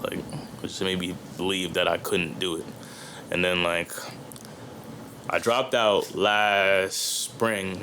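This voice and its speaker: A man's voice: low-pitched, fairly bright tone, a little hiss or hum. A young man, a guest rapper on a podcast, talking in a loose, rambling way full of slang and fillers. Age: young adult. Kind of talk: casual chat.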